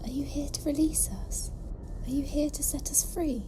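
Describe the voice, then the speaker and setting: A woman playing a gentle, reverent, fearful cat nun in an audio drama, with a breathy, hushed, earnest delivery. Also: sits high, steady tone, moderate pace, slight background noise, natural voice. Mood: pleading, hopeful. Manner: earnest, solemn.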